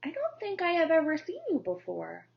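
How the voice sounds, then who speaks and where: A woman voicing a calm, helpful male cardinal bird in a children's story. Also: very high-pitched, moderate pace, gravelly, pitched above the performer's own voice, exaggerated voice. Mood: kindly. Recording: dull lo-fi recording.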